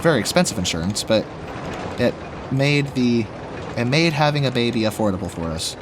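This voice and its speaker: A young man with a rough, slightly raspy voice after being sick, chatting casually and rambling as he plays a theme park building game. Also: medium pitch, expressive, normal speed, noisy background. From Washington State. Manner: rambling, chatty, laughs often, talks to himself while building. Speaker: gaming YouTuber. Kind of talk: casual let's play commentary.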